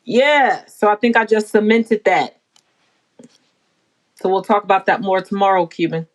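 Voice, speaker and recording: A woman's voice: medium pitch, a little slow. A brash, playful woman from the Bahamas chatting casually and swearing freely on a livestream. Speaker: livestream host.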